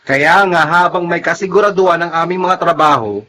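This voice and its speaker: An actor playing an anxious, practical younger grown son in a Tagalog radio drama, explaining himself apologetically. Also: male voice, on the high side, fairly quick, sharp tone, slight background noise. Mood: defensive.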